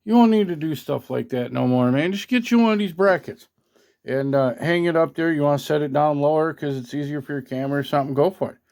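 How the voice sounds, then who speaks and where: Folksy guy doing a casual gear demo, kinda high voice, bit salty, filming on his phone. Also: quick pace, vocal fry, darkish tone. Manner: folksy, colloquial, mildly salty. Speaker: product reviewer, radio hobbyist. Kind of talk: casual hands-on product demonstration. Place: at a workbench indoors. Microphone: handheld phone.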